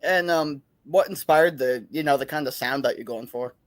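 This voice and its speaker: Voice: mid-range voice, moderate pace, no echo. A podcast host asking brief questions in a casual interview. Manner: brief questions, short acknowledgments.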